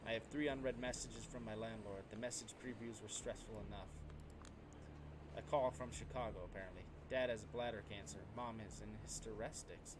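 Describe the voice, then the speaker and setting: A man's voice: fairly low pitch, fairly even intonation, fairly quick, background noise. A man reading a visual novel's text aloud for a let's play, dramatically and sometimes stumbling over the words. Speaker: video game streamer.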